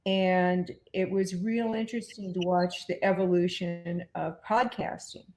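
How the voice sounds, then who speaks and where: A woman's voice: lowish voice, fairly even intonation, a little slow, darkish tone. Echoey woman chatting in an interview. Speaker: podcast and comics producer, guest. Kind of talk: casual interview answers. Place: indoors at home. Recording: echoey room sound.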